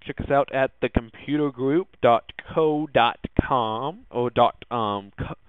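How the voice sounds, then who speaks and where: A man hosting a podcast in a casual, hesitant way, often halting and repeating his words. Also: medium pitch, clear, resonant tone, dry recording. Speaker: podcast host. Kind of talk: casual solo tech podcast talk. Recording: muffled, phone-like narrow-band audio.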